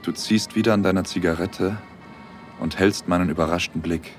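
An actor playing a dreamy, bashful young man, narrating an intimate audio drama in the first person in a soft-spoken way. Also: deep voice, steady tone, moderate pace, soft background music, lightly acted. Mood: bashful, smitten. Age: young adult.

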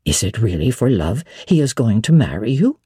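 A woman's voice: a bass voice, big pitch swings, normal speed, dark tone, husky, no room sound, clean studio sound, pitched below the performer's own voice, full character voice. A woman voicing an elderly widowed housekeeper who is bewildered and cautious, speaking in a hesitant, fretful way in a classic novel reading. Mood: gently cautioning. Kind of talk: dramatic audiobook reading of classic literature.

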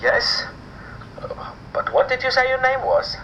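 An actor playing a wary, bewildered man on the telephone in an audio drama, halting and stammering. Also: level tone, bright, forceful tone, full character voice. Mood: wary, confused. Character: bewildered, wary man answering a stranger's call. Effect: telephone filter. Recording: muffled phone line with faint rumble.

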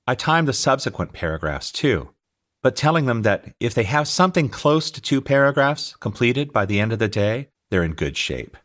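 A middle-aged American man reading his own audiobook as an author and teacher, telling anecdotes in an expressive, scripted style. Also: mid-range voice, moderate pace. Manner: expressive, anecdotal storytelling, acting out dialogue. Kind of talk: scripted narration, audiobook memoir.

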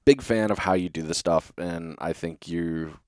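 A man's voice: sits low, normal speed, no echo, clean studio sound. A man hosting a casual fishing interview, asking rambling questions and laughing often. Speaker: podcast host.

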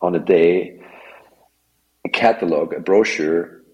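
A man's voice: deep voice, slow, darkish tone, highs cut off. A man in his forties with an Austrian accent, a guest on a podcast interview, talking casually with many fillers and restarts. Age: 40s. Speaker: guest, wingfoil tour director.

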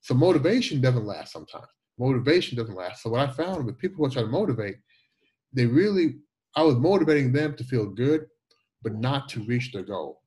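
A man in his forties with a Jamaican accent and a slight lisp, telling stories as an interview guest in an animated way, often repeating and restarting words. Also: medium pitch, fairly quick, husky, rough recording. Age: 40s. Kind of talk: conversational storytelling interview.

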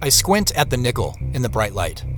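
A man's voice: medium pitch, very animated, brisk, noisy background, lightly acted. An actor playing an uneasy man who confides his secret treasure hunt in first-person audio drama narration. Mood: tense, guarded. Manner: confiding, present-tense storytelling. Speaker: narrator and main character.

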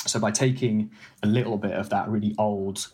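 A man's voice: on the low side, fairly even intonation, moderate pace, a little mellow. A young man, a food editor and ex-baker, explaining casually with lots of "like" and restarts. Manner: rambling, hedging with "like" and restarts.

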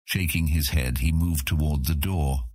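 A man with a husky voice reading scripted fantasy narration as an audiobook narrator. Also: a bass voice, a little slow, a little mellow, no echo, studio quality.

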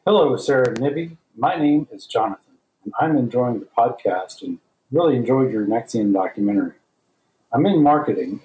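A man's voice: medium pitch, warm tone, low-quality recording. A man speaking as a listener leaving a polite, orderly voicemail question for a podcast.

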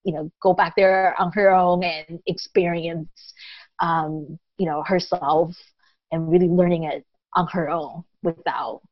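Low, dry-sounding woman on a video call. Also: steady tone, dry recording. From Taiwan. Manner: thoughtful, unhurried, lots of pauses. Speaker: guest. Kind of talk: casual interview conversation. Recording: dull lo-fi dry audio.

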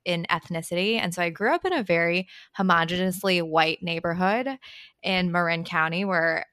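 A woman from Northern California speaks as a dietitian guest on a podcast. Her voice sits a little low and sounds dry and close. She explains things in an earnest, steady way. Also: normal speed, no echo. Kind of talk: podcast interview.

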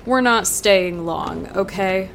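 A woman's voice: medium pitch, takes it slowly, creaky, slight background noise. A woman acting in an audio drama as an impatient, demanding woman with a curt, sardonic manner. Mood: curt, guarded.

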